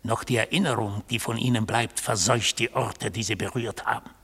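An actor playing a stern, ominous man of the court in a theatrical radio play monologue, with a raspy voice. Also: lowish voice, steady tone, moderate pace, fairly bright tone, exaggerated voice. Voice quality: gravelly, raspy.

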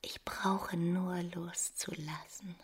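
A romantic, despairing wife in a radio play. Her low voice is intimate and intense, with a creaky grain that often thins toward breath. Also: steady tone, slow pace, a crowd in the background. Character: passionate, romantic, despairing married woman. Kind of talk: literary radio drama acting.

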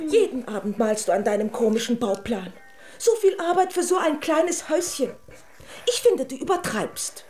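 Haughty, theatrical little princess, high and lively. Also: female voice, moderate pace, faint music behind. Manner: theatrical, sighing, self-important. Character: haughty, dreamy, easily offended little fairy-tale princess. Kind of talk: German radio play acting.

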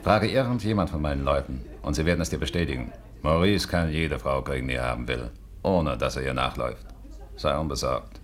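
An actor playing a cocky, pushy small-time gangster in a radio play, gruff and bossy. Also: male voice, rumbling low, a little fast. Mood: bossy, insistent. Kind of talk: 1950s German radio play acting.

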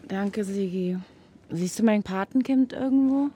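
A woman's voice: mid-range voice, a little slow, mellow, slight background noise, lightly acted. An actress playing an earnest, idealistic young female student in a naturalistic radio play. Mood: friendly.

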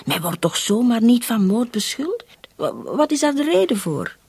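A woman playing a commissioner's inquisitive, persistent wife in a radio drama, with a husky, breathy voice. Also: a little high, sing-song, moderate pace, a little mellow, dry recording, exaggerated voice. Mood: curious, insistent. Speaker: actor in a radio play. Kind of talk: Dutch-language radio drama dialogue.

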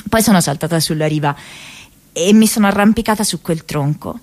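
A woman's voice: on the low side, brisk, darkish tone. An actress playing a restless, lovelorn and self-mocking young woman in a theatrical, emotional radio monologue. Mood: reflective, tender. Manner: theatrical, emotional, with repeated phrases.

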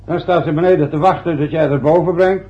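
Actor doing a grumpy sarcastic father, slightly raspy, dull lo-fi old radio sound. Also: a little high, fairly even intonation, fast talker. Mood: gruff. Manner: gruff, sarcastic, resentful. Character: grumpy bitter middle-aged father. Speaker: father, church sexton. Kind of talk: radio play acting.

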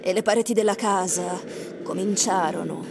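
A woman narrator reading a literary story in a theatrical manner with deliberate phrasing, as in a radio drama. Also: lowish voice, steady tone, vocal fry, heavy background noise.

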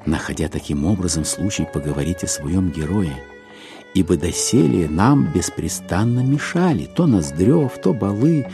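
A man narrating a literary audio drama in an expressive, theatrical way with deliberate dramatic phrasing. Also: deep voice, over music.

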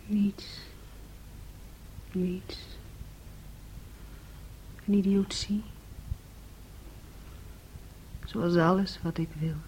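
A woman's voice: on the low side, steady tone, drawn-out words, a little mellow, a little hiss or hum, poor audio. An actress playing a guarded, anguished woman in a radio play, speaking hesitantly and with restraint. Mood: resigned. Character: subdued, guarded, anguished woman, quietly protective.

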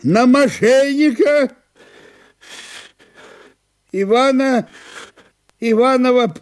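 Breathless, aggrieved fat landowner, pitched high. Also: male voice, exaggerated voice. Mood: indignant, gasping. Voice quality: weak, breathless. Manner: halting, abrupt phrases.

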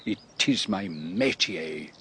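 Belgian male detective, husky voice, French-Belgian accent, shrewd, teasing, theatrical, radio play. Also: deep voice, lively intonation, a bit bright, full character voice. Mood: pompous, emphatic. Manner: deliberate, theatrical, peppers speech with French phrases. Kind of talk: radio drama acting.

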